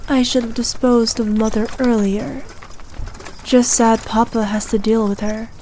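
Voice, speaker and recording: Slow, haughty evil queen acted by a woman. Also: on the high side, fairly even intonation, dark tone, a little hiss or hum, close to an everyday voice. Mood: proud, grandiose. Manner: regal, imperious, measured and indulgent. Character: haughty, ruthless evil queen, doting on her favourite knight. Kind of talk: ASMR roleplay, scripted character acting.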